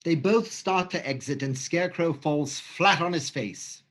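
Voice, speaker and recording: A man reads stage directions aloud in a plain, even manner, with a slightly higher pitch than most men. He keeps the reading steady and matter-of-fact. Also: unhurried.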